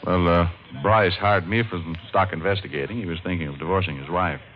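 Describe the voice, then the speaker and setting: An actor playing a wry, wisecracking hard-boiled private detective in a 1940s radio noir, dry and deadpan. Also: male voice, low voice, brisk, natural voice. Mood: businesslike. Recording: muffled old radio recording, music behind.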